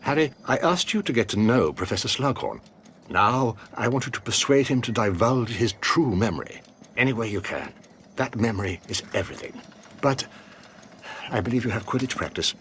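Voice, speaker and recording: An actor playing a grave elderly British wizard headmaster with a formal, deliberate delivery. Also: male voice, mid-range voice, animated, fairly bright tone, a little hiss or hum. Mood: grave, urgent. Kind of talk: video game drama acting.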